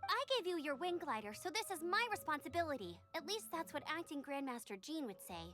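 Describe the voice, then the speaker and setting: Perky, high-voiced young knight. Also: female voice, very animated, fairly quick, bright, forceful tone, soft background music, heavily acted. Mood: firm, official. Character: perky young female knight examiner, brisk and proudly by-the-book. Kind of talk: video game character dialogue.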